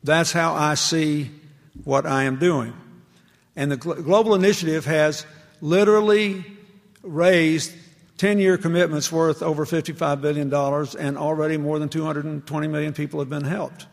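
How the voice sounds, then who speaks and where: A man with a gravelly, slightly raised voice lectures to a student audience. He talks earnestly and conversationally, moving easily into anecdotes. The sound carries a long echo from a large hall. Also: a little high, steady tone, moderate pace. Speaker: public speaker addressing university students.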